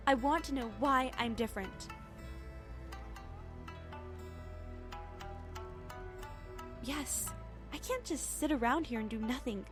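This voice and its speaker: An actress playing a troubled young woman in a game, speaking softly and earnestly about her past. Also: sits high. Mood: determined. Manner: wistful, soft-spoken, earnest. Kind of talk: game cutscene voice acting. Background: music.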